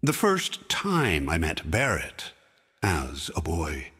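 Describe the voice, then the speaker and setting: Casting: a man voicing a sorrowful aging king, deep husky voice with a forceful edge and wide swings in pitch, heard with long hall reverb. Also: huge pitch swings, exaggerated voice. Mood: grieving. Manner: slow, heavy reminiscing. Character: grieving aging king, stern yet sorrowful. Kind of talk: fan audio drama acting.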